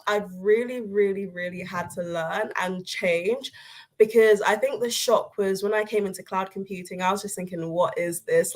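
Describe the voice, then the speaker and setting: Young female intern, casual candid presenter, middle-pitched voice. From Nigeria. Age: young adult. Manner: chatty, candid, filler-laden. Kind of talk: informal presentation to educators.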